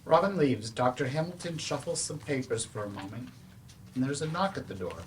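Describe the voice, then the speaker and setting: Very low-voiced woman reading stage directions. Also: animated, brisk. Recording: noisy room at live staged reading.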